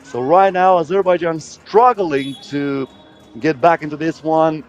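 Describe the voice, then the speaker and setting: Higher-voiced male basketball commentator. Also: fairly even intonation, measured pace, muffled recording. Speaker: sports commentator. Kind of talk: live basketball play-by-play commentary.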